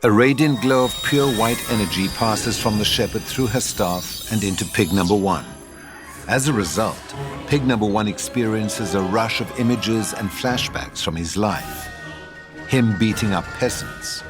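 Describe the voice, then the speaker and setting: A male narrator reads stage directions from a screenplay. His voice sits fairly low, and music plays clearly underneath the scripted narration. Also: normal speed.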